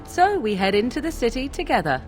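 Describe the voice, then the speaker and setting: An actress playing a proud, aloof but sincere sorceress in a video game, formal and measured. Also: female voice, a little high, big pitch swings. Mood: guarded, solemn. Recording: music behind the voice.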